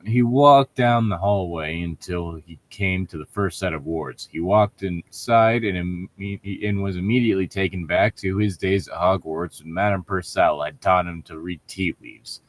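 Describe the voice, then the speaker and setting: Man, deep voice, sarcastic, exasperated, casual podcast riffing, reading aloud. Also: unhurried, few pauses, dry recording. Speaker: podcast host reading and mocking fan fiction. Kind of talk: casual riffing between lines read aloud.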